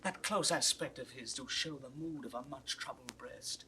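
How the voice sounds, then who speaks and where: A man's voice: mid-range voice, moderate pace, bright, forceful tone, a little hiss or hum. A man acting an earnest, indignant English nobleman in a classical Shakespeare audio drama, declaiming with emphatic rhetoric. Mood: hushed, fearful.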